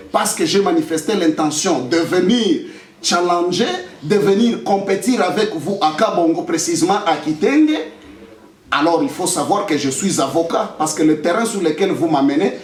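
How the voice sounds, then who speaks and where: A young man with a Congolese French accent giving an emphatic, defiant public statement, repeating phrases for effect. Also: high voice. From Democratic Republic of the Congo. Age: young adult. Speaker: lawyer and political candidate. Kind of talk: press statement answering accusations. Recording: echoey room, distant microphone.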